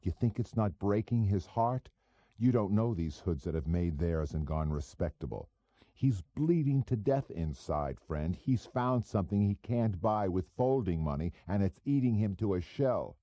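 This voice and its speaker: A man's voice: on the low side, animated, normal speed, warm tone, dry recording, muffled recording, voice pushed up, lightly acted. A man playing a sneering, talkative, cynical wise-guy operative with a cocky, slangy manner in an audiobook. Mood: cynical, boastful.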